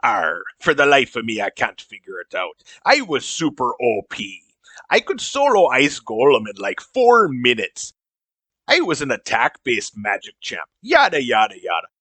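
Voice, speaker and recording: A man's voice: mid-range voice, wildly swooping pitch, normal speed, bright, forceful tone, dry recording, full character voice. A man voicing a boastful pirate-like video game champion with growly pirate talk, in a comedy sketch. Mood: boastful, baffled.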